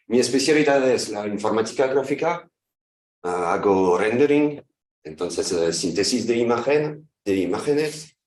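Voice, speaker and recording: A very low-voiced man in his thirties with a French accent gives an academic talk, working through a second language with frequent halts and self-corrections. He sounds set back from the mic in an echoey room. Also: a little mellow, far from the mic, a little hiss or hum. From France. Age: 30s. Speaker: guest lecturer.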